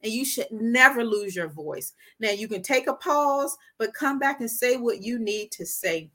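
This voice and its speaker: Bright-voiced woman telling her story. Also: a little high, moderate pace. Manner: earnest, animated storytelling with quoted asides. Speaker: podcast guest, storyteller. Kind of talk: casual interview talk.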